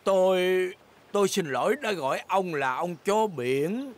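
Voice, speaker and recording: Ông heo già hiếu thắng, giọng cao. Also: male voice, sits high, animated, unhurried. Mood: grudging. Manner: competitive, stubborn. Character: boastful, childish grandfather pig, boat captain. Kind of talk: dubbed children's cartoon dialogue.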